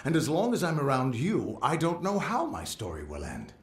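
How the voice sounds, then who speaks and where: A man's voice: mid-range voice, huge pitch swings, moderate pace, echoey room. A man playing a middle-aged, boastful but loyal veteran adventurer in a fantasy game, with a theatrical, earnest delivery. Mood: earnest, resolved. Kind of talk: fantasy game dialogue acting.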